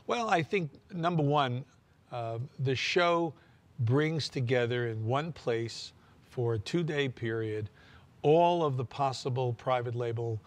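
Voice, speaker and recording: Measured man with a mid-pitched voice. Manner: measured, with frequent "uh" fillers and dry humor. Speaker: president of a trade association. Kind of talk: interview answers.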